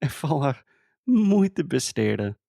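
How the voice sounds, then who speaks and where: American guy speaking learner portuguese, super gravelly and dark, slow, fillers everywhere, dry mic. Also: mid-range voice, very animated, dry recording. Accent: American English. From United States. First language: English. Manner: slow, searching for words, says "tipo" often. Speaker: podcast co-host. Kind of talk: casual conversational chat.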